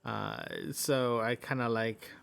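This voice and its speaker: A man hosting a casual audio diary, thinking aloud in a halting, rambling way. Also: mid-range voice, steady tone, vocal fry, a bit bright, clear, resonant tone. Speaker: podcast host.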